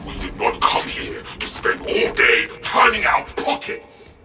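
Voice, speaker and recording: An actor playing a gruff male veteran warrior who talks bluntly and mockingly and is eager for a fight. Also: unhurried, natural voice. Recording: distant game voice over loud music.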